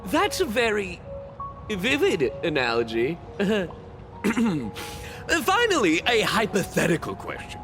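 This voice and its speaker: A man's voice: high-pitched, huge pitch swings, slow, heavily acted. An actor playing a relentlessly upbeat male TV street reporter in a video game, with a showy, gushing broadcast delivery. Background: background music.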